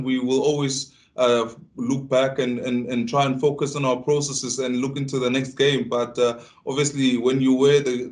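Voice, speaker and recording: A South African man, Tsonga by first language, answering at a press conference as a rugby player. His pitch barely moves and his delivery stays level. He stumbles and restarts often, repeating words as he searches for them.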